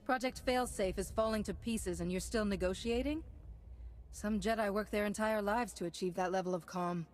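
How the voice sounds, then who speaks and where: An actress playing a stern, commanding Jedi heroine in a video game, speaking with dry authority. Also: female voice, mid-range voice, normal speed, natural voice. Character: stern, commanding young female Jedi hero. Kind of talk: video game cutscene acting.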